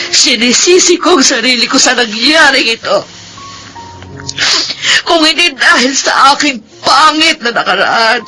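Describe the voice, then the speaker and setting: Radio drama mother, Filipino, guilt-ridden and pleading, very animated pitch, over a music bed. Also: heavily acted, poor audio. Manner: emotive, tearful pleading. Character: guilt-ridden, anguished mother of grown children. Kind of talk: Tagalog radio drama acting. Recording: music under the voice.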